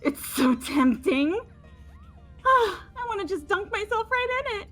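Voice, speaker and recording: A woman's voice: very high voice, big pitch swings, a little slow, a bit bright, soft background music, heavily acted. A woman voicing a crazed, leering female killer in a video game, giddy and obsessive with gasping, swooning delivery. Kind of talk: amateur voiced video game playthrough.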